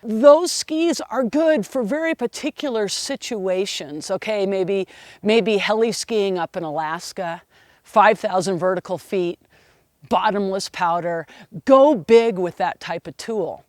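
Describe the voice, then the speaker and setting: A middle-aged woman ski instructor giving an opinionated lesson on equipment in a strong, loud, emphatic voice. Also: mid-range voice, unhurried, no room sound, studio quality. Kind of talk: instructional video talk.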